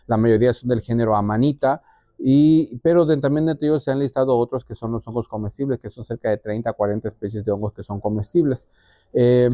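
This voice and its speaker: A man in his forties speaks with a mellow tone at a middling pitch. He explains things as an interviewed expert, sometimes restarting, on a dry, close recording. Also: no room sound. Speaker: biologist, interviewee. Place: small indoor exhibition room. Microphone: clip-on lapel mic.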